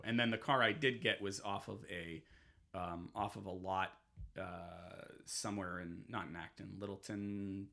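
A man's voice: sits low, vocal fry, bright, forceful tone. A man in his forties from Massachusetts, chatting casually on a podcast and telling rambling stories full of fillers and swearing. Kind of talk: casual chat.

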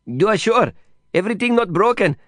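A man voicing an eager, excitable Indian guide with an Indian accent and effusive, lilting English, in an audiobook. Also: high-pitched, brisk, no room sound, voice pushed up. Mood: anxious. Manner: effusive, lilting non-native English phrasing. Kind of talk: audiobook character voice.

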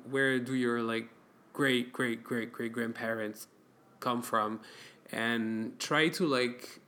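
A man's voice: mid-range voice, steady tone, slow pace, bright, forceful tone. A young man from France chatting casually as a podcast co-host, giving advice with many fillers and restarts. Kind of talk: casual chat.

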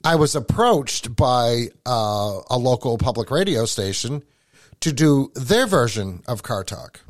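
A man with a New England accent, hosting a podcast and chatting in an animated, casual way, often repeating words as he starts a thought. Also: medium pitch, unhurried, no room sound, studio quality. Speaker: podcast host.

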